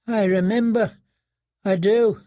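Man doing a cowering male prisoner, high, strained, pleading, cracked. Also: fairly even intonation, takes it slowly, mellow, no room sound, studio quality. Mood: whimpering. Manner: whimpering, pleading. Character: broken, terrified young male captive.

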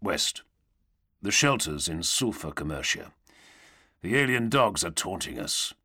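A man voicing a grim, solemn warrior-priest knight in a low, bleak drawl, intoning tersely like a preacher in an audiobook. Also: sing-song, measured pace, pushed and bright, slightly rough, full character voice.

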